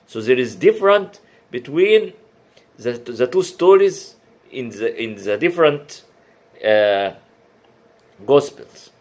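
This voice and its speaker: A man whose first language is Arabic gives a religious lecture in careful, non-native English, pausing often with fillers and restarting words. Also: mid-range voice, very animated, darkish tone. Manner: halting, many uh fillers and restarts. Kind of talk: comparative religion talk.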